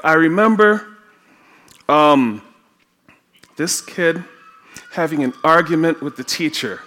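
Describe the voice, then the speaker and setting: Slow-speaking Trinidadian man preaching. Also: fairly high pitch, bright, forceful tone. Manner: slow, storytelling, often laughing. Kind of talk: sermon with personal stories. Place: church sanctuary.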